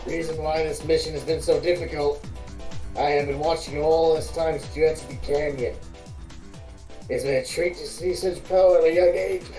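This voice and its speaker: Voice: mid-range voice, steady tone, moderate pace, mellow, echoey room, recorded from a distance, faint music behind, close to an everyday voice, poor audio. A performer voicing a haughty, ever-starving spirit of pure hunger in a grandiose, boastful way for a fantasy story. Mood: smug.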